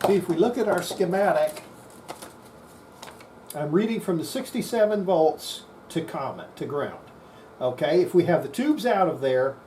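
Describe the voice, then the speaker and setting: Halting workbench demonstrator with noisy background. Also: medium pitch, warm tone. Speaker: electronics restorer demonstrating a repair. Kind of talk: unscripted hands-on workbench demonstration. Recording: clear background noise.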